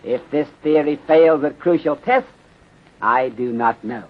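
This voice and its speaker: Calm wise detective on 1930s radio, kinda higher voice, formal clipped grammar, muffled old recording. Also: male voice. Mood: measured, thoughtful. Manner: formal, measured, clipped grammar dropping articles.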